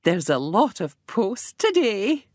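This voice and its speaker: Lady doing a kindly post office lady for a kids story, very swoopy and sing-song, slow. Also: mid-range voice, sing-song, dry recording, studio quality. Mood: pleased, curious. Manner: warm, exclaiming, sing-song. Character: Missus Goggins, pleased, kindly village postmistress. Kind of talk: children's storybook character voice.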